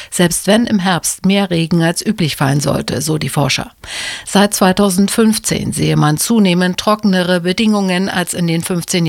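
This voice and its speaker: A woman presenting the radio news, reading in a crisp, even delivery. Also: lowish voice, no echo, clean studio sound.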